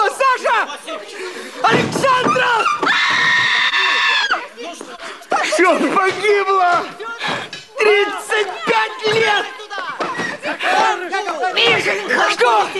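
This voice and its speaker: Despairing man in his 30s, hysterical shouts, bitter laughs, film soundtrack, loud crowd. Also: very high-pitched, very slow, long pauses, heavy background noise, full character voice. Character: despairing 35-year-old man raging at his wasted life.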